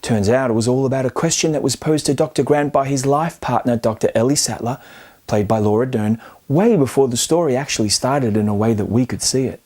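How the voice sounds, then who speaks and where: Man narrating film analysis, softened mellow tone. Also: medium pitch, a little fast. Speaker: film commentary host. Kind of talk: scripted video-essay narration.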